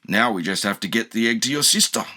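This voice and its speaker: A man voicing a big, tall, gentle male dinosaur who speaks softly. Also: on the low side, animated, quick pace, a little hiss or hum.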